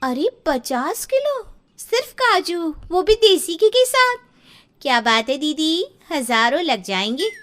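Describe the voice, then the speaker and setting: A woman's voice: very high-pitched, big pitch swings, slow pace, fairly bright tone, exaggerated voice. A woman voicing a boastful, envious young sister-in-law in a cartoon story, gushing and exaggerating. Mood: amazed. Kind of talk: cartoon story character acting.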